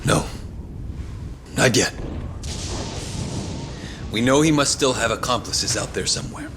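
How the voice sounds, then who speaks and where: An actor playing a ruthless, imperious politician crime boss in a video game, arrogant and volatile. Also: male voice, medium pitch, lively intonation, a little slow, noisy background, close to an everyday voice. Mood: cold, commanding. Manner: imperious, profane. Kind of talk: English video game voice acting.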